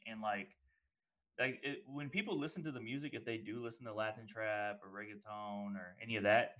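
A man's voice: on the low side, pushed and bright, rough recording. A man hosting a podcast, talking casually and enthusiastically, affirming his guest and calling him "bro" and "man". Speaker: podcast host.

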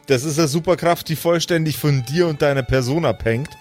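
A man's voice: a little high, brisk. A man speaking as game master, explaining things casually during a live tabletop game. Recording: faint music behind the voice.

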